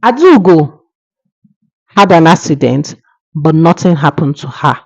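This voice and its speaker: Lady telling a moral folktale, lowish voice, kinda dull lo-fi audio. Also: lively intonation, a little slow. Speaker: storyteller. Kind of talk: oral folktale narration with moral lesson.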